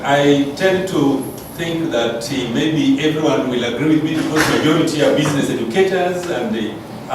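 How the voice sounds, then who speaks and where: Kenyan-accented male academic speaker, mid-pitched and polite, distant from the mic with strong room echo. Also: background noise. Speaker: college business lecturer presenting. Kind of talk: conference presentation. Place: large echoey room, recorded from afar.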